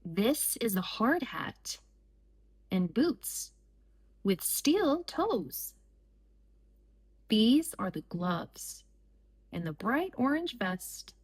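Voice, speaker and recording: A woman with a fairly high voice reads a children's picture book aloud. Her pace is extremely slow, with short, deliberate phrases and pauses between them, in the style of storytime for young listeners. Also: slightly rough.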